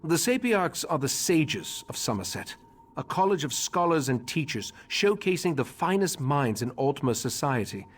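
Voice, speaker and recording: An actor playing a grave, remorseful elven mage leader in a fantasy game, speaking formally with measured, slightly husky delivery. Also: male voice, on the high side, big pitch swings. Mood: measured, informative. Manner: measured, formal, solemn exposition. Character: grave elderly male high elf mage leader, remorseful and scholarly.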